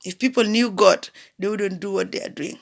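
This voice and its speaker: A woman's voice: mid-range voice, moderate pace, gravelly. A woman from South Sudan giving an impassioned political address, emphatic, repeating phrases and asking rhetorical questions. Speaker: diaspora commentator.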